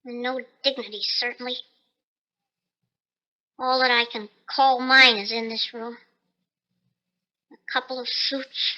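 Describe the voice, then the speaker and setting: Shrill, tiny shrunken scientist. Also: male voice, bright, forceful tone, full character voice, poor audio. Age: 30s. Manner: theatrical, self-pitying, agitated. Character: bitter, desperate shrunken research scientist, man of thirty-two. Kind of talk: 1950s radio drama monologue.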